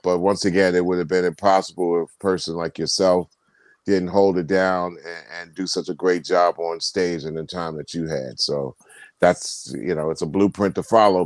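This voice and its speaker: A man co-hosting a casual video call chat, talking in a rambling, animated way with many restarts. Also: deep voice, dry recording. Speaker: tour promoter and co-host.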